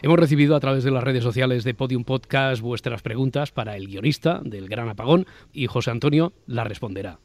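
A man's voice: medium pitch, fairly quick, darkish tone, no room sound. A man from hosting a casual round-table podcast. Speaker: podcast host.

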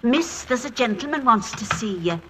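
An actress playing a deferential, chatty theatre dresser in 1940s radio style. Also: female voice, on the high side, lively intonation, moderate pace, noisy background, natural voice. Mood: chatty, respectful. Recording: vintage 1940s radio recording.

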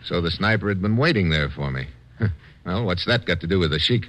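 An American man playing a bold, wry, hard-boiled adventurer in an old-time radio drama, with brisk informal delivery. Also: deep voice, lively intonation, fairly bright tone. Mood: wry, terse. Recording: noisy vintage radio recording.

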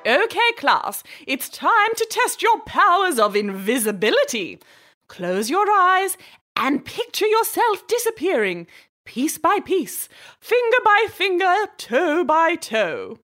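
A woman playing cheerful, encouraging characters in a children's audio story, in a very expressive manner. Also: extremely high pitch, slow pace, faint music behind, heavily acted. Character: cheerful, expressive young girl and encouraging schoolteacher.

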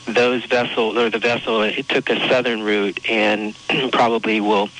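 Flat-toned man, casual spokesperson soundbite. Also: fairly low pitch, unhurried, low-quality recording. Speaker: port spokesperson.

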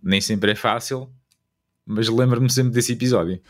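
Man, European Portuguese accent, mid-pitched, casual, informal, hesitant interviewed father. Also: measured pace.

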